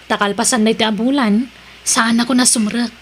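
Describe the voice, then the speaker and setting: A woman's voice: fairly high pitch, fairly even intonation, fairly quick, slightly rough. A woman playing a polite, earnest young junior employee in an audio drama, speaking with an Ilocano Filipino accent.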